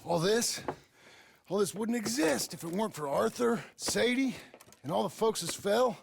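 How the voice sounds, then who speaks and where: An actor playing a gruff but earnest frontier outlaw turned rancher in a video game, with an American accent and a gravelly, plainspoken, halting delivery. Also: male voice, high-pitched, lively intonation, slight background noise, heavily acted. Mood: pleading, resolute. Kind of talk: dramatic video game acting.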